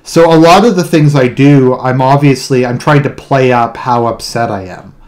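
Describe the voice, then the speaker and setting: A man with a deadpan delivery speaks casually in a solo monologue, often repeating words and restarting his sentences. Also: mid-range voice, moderate pace. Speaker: online video commentator. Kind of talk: casual monologue explaining own humour.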